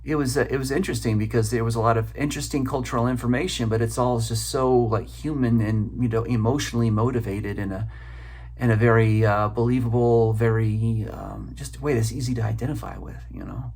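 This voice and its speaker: A man giving casual spoken feedback on a film as an audience member, halting as he searches for words. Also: mid-range voice, steady tone, a little mellow.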